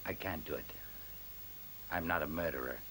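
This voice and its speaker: A man's voice: a bass voice, moderate pace, sharp tone. An actor playing an embittered professor in a science fiction radio play, a man with a grave, rhetorical, sardonic delivery. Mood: defeated. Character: embittered male professor, grave doomsayer against machines. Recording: faint hiss, 1970s radio recording.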